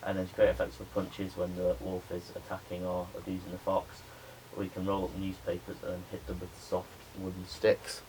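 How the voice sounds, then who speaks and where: A young adult man, a media student giving a spoken pitch presentation to a class. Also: monotone, moderate pace, few pauses. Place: indoor room with a small audience. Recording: noisy room recording.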